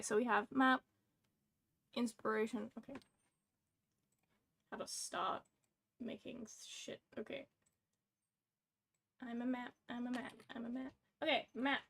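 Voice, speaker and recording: A woman chatting on a livestream at an unhurried, drawn-out pace, her voice a little raised in pitch with a gravelly fry running through it. She is scattered and self-mocking, narrating every small fumble aloud. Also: fairly bright tone. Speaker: livestream co-host. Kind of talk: casual livestream chat.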